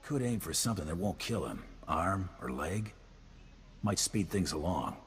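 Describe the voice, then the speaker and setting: Very deep, terse bounty hunter. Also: male voice, animated, moderate pace. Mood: menacing. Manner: terse, dry, threatening. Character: tough, laconic male bounty hunter, dryly menacing. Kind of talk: video game voice acting. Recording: long hall reverb, background noise.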